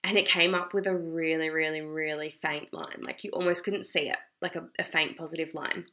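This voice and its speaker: A young Australian woman talks to camera in a loose, rambling way, peppering her speech with "like" and "you know". Her voice sits a little low for a woman yet sounds bright and forceful, with a slight hoarseness from a cold. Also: fairly even intonation, brisk. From South Australia. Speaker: vlogger. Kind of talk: casual talk to camera.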